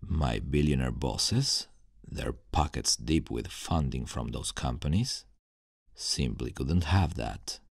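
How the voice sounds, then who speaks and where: A man playing an embittered scientist turned supervillain in a dramatic ASMR roleplay monologue, telling his story in a brooding, deliberate, theatrical way. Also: extremely low pitch, lively intonation, vocal fry, breathy edge, dry recording, studio quality, exaggerated voice. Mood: cold, bitter.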